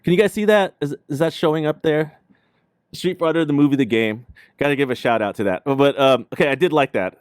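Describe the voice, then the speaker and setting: Casual male livestream host, slightly higher voice. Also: a little fast, clean tone, dry recording, studio quality. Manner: slangy, jokey, frequent "dude" and "man". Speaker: show host.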